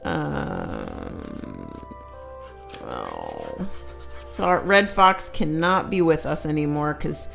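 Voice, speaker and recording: A woman's voice: low-pitched, big pitch swings, drawn-out words, vocal fry, a bit bright, faint music behind. A woman co-hosting a live stream, giggling often and reading viewer comments aloud in a casual chat.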